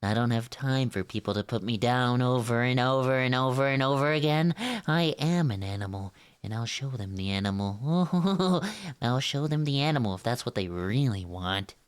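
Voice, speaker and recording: A man's voice: medium pitch, normal speed, clear, resonant tone, lightly acted. A man voicing an unhinged cartoon sea sponge, indignant and defiant, ranting obsessively. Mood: manic, defiant.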